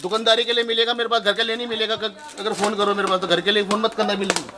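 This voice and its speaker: A wholesale shop owner in his thirties, a man with a high voice who reels off prices at speed. He repeats each number over and over in a steady sales patter. The recording carries clear background noise from the crowded shop. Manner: rapid, repetitive price-counting patter. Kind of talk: sales pitch, listing prices. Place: small indoor shop crowded with shelves.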